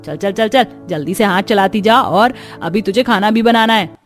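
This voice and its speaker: A woman's voice: medium pitch, normal speed, faint music behind, lightly acted. A woman playing a scolding, spiteful aunt with a nagging manner in a cartoon. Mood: sarcastic. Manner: nagging, sharp-tongued. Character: scolding, spiteful aunt who nags her niece.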